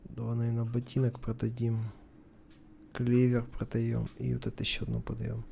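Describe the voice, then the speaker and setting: A man's voice: mid-range voice, completely flat delivery, normal speed, vocal fry, mellow, slight background noise. A man gives casual let's play commentary, thinking aloud as if to a companion. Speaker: gameplay commentator.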